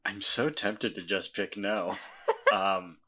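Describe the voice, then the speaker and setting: A man playing in a tabletop game, chatting casually and speaking slowly. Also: on the low side, bright, forceful tone. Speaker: player. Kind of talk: casual play at a gaming table.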